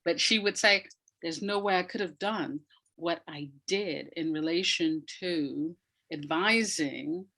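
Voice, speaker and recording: A woman's voice: fairly low pitch, slow pace, sharp tone. Emphatic woman explaining in an interview. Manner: emphatic, repeats phrases for effect, laughs often. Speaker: interview guest, audio drama maker. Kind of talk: animated explanatory interview talk.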